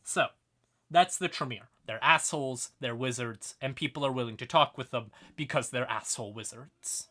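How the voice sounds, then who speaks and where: A man's voice: mid-range voice, normal speed, bright, forceful tone. A man hosting a livestream and explaining fantasy lore in a casual, irreverent, sweary, conversational way. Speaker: livestream host.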